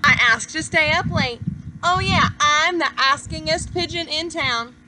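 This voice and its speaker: A woman voicing a whiny, indignant pigeon from a picture book, complaining with dramatic, drawn-out emphasis. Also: high-pitched, noisy background. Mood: indignant, frustrated.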